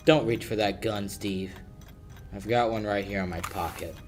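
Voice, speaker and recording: A man's voice: on the low side, normal speed, soft background music, natural voice. A young man playing a tough, wry lawyer in a hard-boiled 1940s radio play. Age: young adult. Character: tough wisecracking male lawyer, suspicious and dry.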